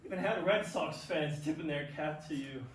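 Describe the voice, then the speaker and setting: Disgraced male sports star man acting, remorseful, jealous, defeated, stage drama, slightly high voice, heavy room echo. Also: moderate pace, lightly acted. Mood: wistful envy. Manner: confessional, defeated. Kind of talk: staged play reading, dramatic dialogue. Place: theatre stage at a staged reading. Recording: very echoey stage, distant mic, faint noise.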